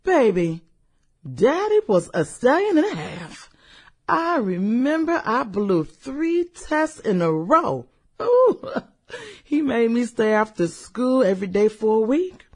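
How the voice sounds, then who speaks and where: A woman's voice: on the high side, big pitch swings, slow pace, a little mellow, heavily acted. A woman acting in a satirical radio drama as a vain, gossipy former cheerleader, with a sassy, drawling delivery and theatrical exclamations. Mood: flirty nostalgic.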